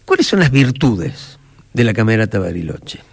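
A male radio host with a gravelly, creaky voice. His pitch rises and falls widely as he asks his guest questions. He throws in lively, friendly asides as he goes. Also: moderate pace. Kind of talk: radio interview.

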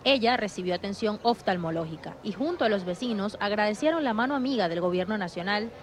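A woman reporting from the field, reading her news story in a measured, even way. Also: medium pitch. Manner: even, measured newsreader delivery.

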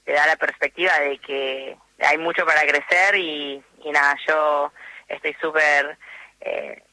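A woman's voice: low voice, a little slow, no echo, rough recording. A young woman athlete, talking modestly with many fillers in a radio interview by phone. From born in the USA, raised in Argentina. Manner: relaxed, filler-heavy, modest. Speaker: athlete guest. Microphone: borrowed phone.

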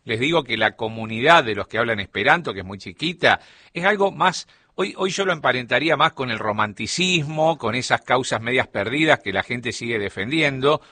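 A man's voice: medium pitch, lively intonation, fairly quick, pushed and bright, dry recording. A middle-aged man with a Rioplatense Spanish accent, explaining a topic as a radio columnist in a studio chat. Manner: explanatory, storytelling delivery.

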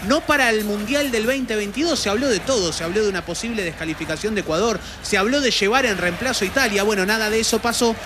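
A man giving measured, analytical football commentary on the radio. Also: sits high, noisy background.

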